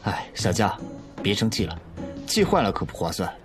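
Animated, teasing young male student played by a man. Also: on the low side, normal speed, music in the background. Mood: teasing, smooth. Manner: coaxing, teasing. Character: sly, teasing male college student, playfully wounded suitor. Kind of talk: Chinese audio drama acting.

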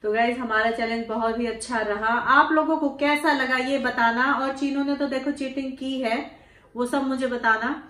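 Mother vlogger in her forties, high pitch, playful teasing, sore throat, echoey living room. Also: fairly even intonation, moderate pace, sharp tone. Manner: teasing, laughing, talks to viewers. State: sore throat, has a cold. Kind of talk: casual family vlog chat. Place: living room, indoors, on a sofa. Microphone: fixed camera a few feet away.

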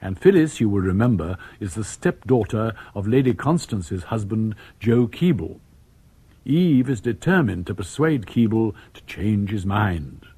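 An older English author, genial and conversational, narrating a radio play. The voice is low and mellow with lively intonation, a little husky, in a dry studio. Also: male voice, a little slow, no echo, heavily acted. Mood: confiding, wry. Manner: conversational, addressing the listeners directly. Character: genial older male author telling his own story. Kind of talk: 1980s BBC radio drama narration.

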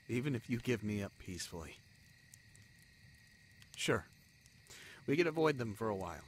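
A man playing an anguished, self-sacrificing young spider-folk lover in a romantic audio drama, halting and pleading in manner. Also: on the low side, a little hiss or hum.

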